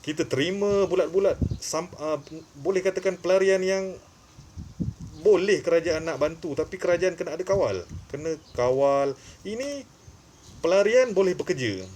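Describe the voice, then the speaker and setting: A man's voice: high-pitched, expressive, normal speed, darkish tone, a little hiss or hum. A man with a Malaysian accent giving informal, opinionated commentary in an emphatic, repetitive way, with frequent short filler tags. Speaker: online commentary channel host.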